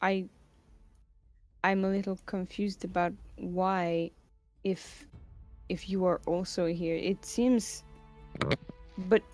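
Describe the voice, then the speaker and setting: A woman playing a tabletop game, chatting halfway in character with a vague put-on European accent, halting and giggly. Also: lowish voice, steady tone, a little mellow, faint music behind. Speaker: player. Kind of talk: tabletop roleplay, half in character.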